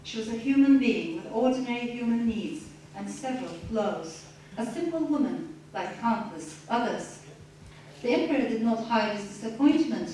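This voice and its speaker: A woman's voice: on the high side, animated, dark tone. Woman author reading then chatting, thoughtful, far off mic in a big echoey room. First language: Turkish. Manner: measured, reflective, with some restarts. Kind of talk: reading aloud then answering questions. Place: lecture room. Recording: echoey room, distant mic, faint noise.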